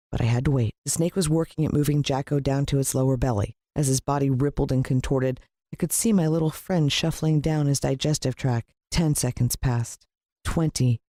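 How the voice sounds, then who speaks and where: Audiobook narrator: American woman, expressive and suspenseful storytelling, measured pauses, bone-dry studio-like sound. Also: deep voice, vocal fry, no echo. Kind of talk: dramatic scripted storybook narration.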